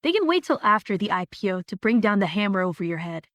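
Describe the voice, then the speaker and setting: A woman's voice: mid-range voice, sing-song, fast talker, no echo, close to an everyday voice. A woman playing a skeptical, level-headed young streamer in a scripted audio drama, dry and blunt. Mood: exasperated, warning.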